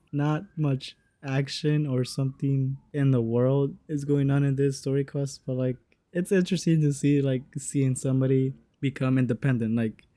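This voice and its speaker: Mellow, casual young gamer, close and dry. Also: male voice, medium pitch, dry recording. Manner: halting, informal, sweary. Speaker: gaming video creator. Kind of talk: casual gameplay reaction commentary.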